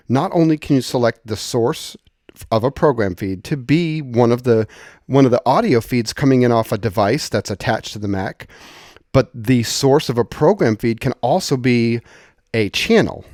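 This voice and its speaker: Man, mid-pitched voice, dry sound, software tutorial presenter, conversational, explanatory, thinking aloud. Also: no echo. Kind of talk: informal software walkthrough.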